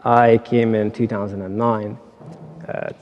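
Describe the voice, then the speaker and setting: Man, panelist, hesitant with fillers, low pitch, mellow tone, church-hall reverb. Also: level tone, unhurried, highs cut off. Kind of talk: panel talk.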